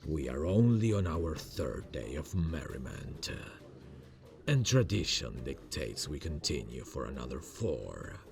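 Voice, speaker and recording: A man playing a proud, charming demon king in an ASMR fantasy roleplay, speaking in a gravelly, grandiose, theatrical way. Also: extremely low pitch, sing-song, darkish tone, soft background music, heavily acted. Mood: boastful, triumphant. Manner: grandiose, theatrical, slow and deliberate.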